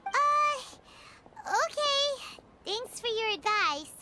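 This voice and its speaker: A woman voicing a small, excitable, childlike female fairy companion in a video game, with a squeaky, expressive delivery. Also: drawn-out words, very noisy, full character voice. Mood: puzzled. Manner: expressive, exclamatory, refers to self in third person.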